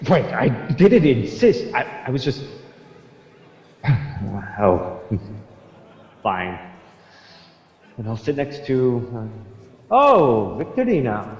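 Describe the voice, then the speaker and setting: An actor playing a proud, surly Franciscan friar in a radio drama, haughty and blustering. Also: male voice, mid-range voice, sing-song, slow pace, long pauses, darkish tone, muffled recording. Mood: grumbling. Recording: echoey hall-like reverb.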